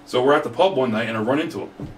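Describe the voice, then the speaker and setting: A man's voice: medium pitch, racing. A man chatting casually and telling stories as a firefighter instructor guesting on a podcast. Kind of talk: casual storytelling chat. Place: studio room. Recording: roomy, distant mic, faint background noise.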